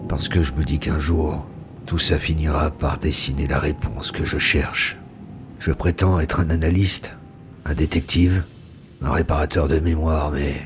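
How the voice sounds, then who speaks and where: An actor playing a solitary, brooding detective who archives AI voices, speaking in a grave, measured, introspective tone. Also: male voice, extremely low pitch. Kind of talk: immersive sci-fi audio drama.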